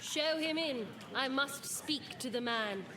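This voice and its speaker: A woman's voice: sits high, takes it slowly, noisy background. An actress playing a regal queen and mother in a video game's stage play, giving stately, commanding rhymed orders. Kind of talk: rhyming stage-play verse in a video game. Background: game music.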